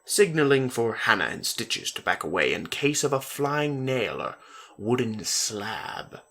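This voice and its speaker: Low, gravelly man reading a story. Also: bright, forceful tone. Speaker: story narrator. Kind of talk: scripted narration, dramatic reading of a story.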